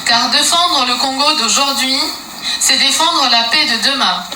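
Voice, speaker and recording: A woman's voice: high-pitched, unhurried. A woman opening a press conference with a solemn, emphatic political declaration. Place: meeting room in Brussels. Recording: echoey room from far off, noisy.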